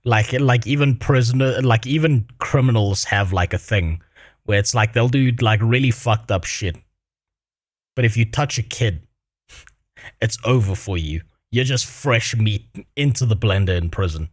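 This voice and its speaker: A man's voice: on the low side, measured pace, no echo. Blunt, crude co-host guy, dry sound. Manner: blunt and crude, rambling with fillers. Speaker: co-host reacting on stream. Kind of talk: casual reaction chat.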